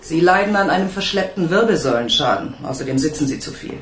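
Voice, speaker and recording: Brisk masseuse in echoey room. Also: female voice, sits low, expressive. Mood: brisk, instructing. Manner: brisk, instructing, teasing. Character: brisk, teasing female masseuse and physiotherapist. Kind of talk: radio play acting.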